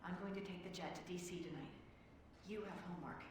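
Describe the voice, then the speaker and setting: A poised, teasing businesswoman, acted by a woman in a video game, heard in a very echoey space from off the mic. Her voice is slightly low, coy and dry, with clear noise behind it. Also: fast, natural voice. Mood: brisk, coy. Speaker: video game character actor. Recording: echoey, distant, noisy room sound.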